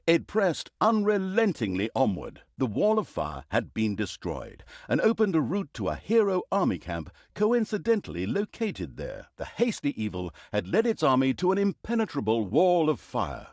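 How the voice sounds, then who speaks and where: Pompous snarky male storybook narrator for a dark fantasy game, super animated pitch, dry studio sound. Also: normal speed, hardly any pauses, no echo. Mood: arch, mocking. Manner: arch, dryly sarcastic, dramatic emphasis. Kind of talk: theatrical scripted storybook narration.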